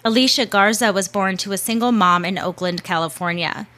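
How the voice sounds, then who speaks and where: A woman's voice: medium pitch, fairly quick, sharp tone. A woman hosting a show, reading a scripted narration in a casual way with chatty asides and the odd swear word.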